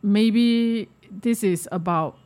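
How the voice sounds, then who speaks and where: A woman with a medium-pitched voice and a Malaysian accent. She talks informally, as in a podcast discussion. Her delivery stops and starts, and she often checks in with the listener. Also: a little mellow. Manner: halting, often checks in with "you know". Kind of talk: casual discussion of social issues.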